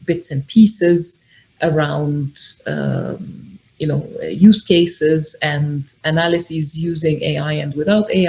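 A middle-aged woman from Egypt, a podcast guest, explaining her work in a measured way with frequent ums. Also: deep voice, creaky, warm tone, low-quality recording. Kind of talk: casual interview talk.

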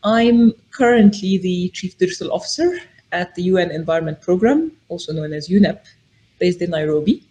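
A middle-aged woman from Egypt speaks as a podcast guest. Her talk is measured and explanatory, and she pauses often on ums as she lays out her thoughts. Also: on the low side, warm tone. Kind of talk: casual interview talk.

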